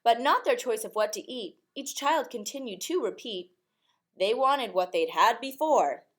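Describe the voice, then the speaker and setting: A woman reading a rhyming picture book aloud expressively, in a measured sing-song rhythm. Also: fairly high pitch, animated.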